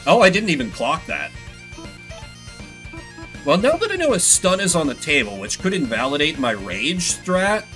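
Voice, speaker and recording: Man, game streamer, bright voice, lively intonation, casual commentary, analytical, music faintly behind. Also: on the high side, moderate pace, long pauses. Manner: thinks out loud, analytical. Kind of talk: casual live gameplay commentary.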